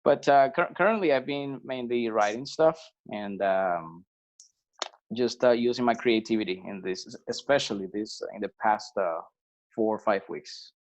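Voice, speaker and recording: A man from Venezuela chats as a podcast guest, easygoing and unhurried, often restarting his sentences and filling pauses. His voice sits in an ordinary middle register. The sound is a bit dull and lo-fi. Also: mid-range voice. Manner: relaxed, hesitant with many fillers and restarts. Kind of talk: casual conversational interview.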